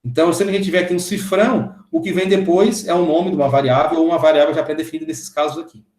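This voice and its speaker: Man in his thirties, a programming teacher with a slightly high voice, explains step by step, echoey room sound. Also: fairly even intonation, fast, a little mellow. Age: 30s. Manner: explains step by step, often checking in with the class. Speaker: programming instructor. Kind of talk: screen-recorded coding lesson.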